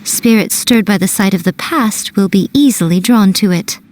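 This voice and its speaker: A woman voicing a sly fox-spirit shrine priestess in a game dub, smooth, playful and teasing. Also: medium pitch, moderate pace, a little mellow, close to an everyday voice.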